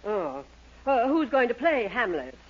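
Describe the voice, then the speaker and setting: A woman's voice: fairly high pitch, very animated, unhurried, husky, full character voice. A woman playing a haughty, skeptical stage star with a brusque manner in a 1940s radio sitcom. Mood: exasperated. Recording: very noisy, muffled 1940s radio recording.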